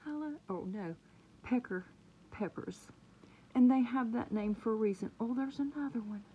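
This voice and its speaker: A woman chatting excitedly about her seedlings, sometimes dropping to a murmur, recorded on a handheld phone indoors. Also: on the high side, moderate pace, highs cut off, slight background noise. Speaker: gardening vlogger. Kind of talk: casual home gardening vlog. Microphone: phone camera held close.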